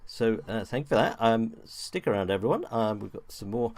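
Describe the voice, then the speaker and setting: A man's voice: on the low side, lively intonation, a little fast, a little mellow, no room sound. A man hosting a live online audio show, chatting casually and loosely with many ums and restarts as he brings guests on.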